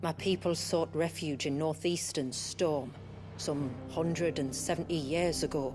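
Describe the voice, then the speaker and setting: Solemn low-voiced woman storyteller. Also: fairly even intonation, music in the background. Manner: measured, formal, earnest. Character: grave village woman recounting her people's tragic past. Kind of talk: solemn scripted storytelling in video game drama.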